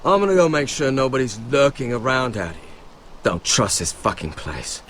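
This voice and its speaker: Hot-tempered suspicious fighter, swinging pitch. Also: male voice, sing-song, normal speed, a bit bright, a little hiss or hum. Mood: suspicious, irritated. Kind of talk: dramatic video game acting.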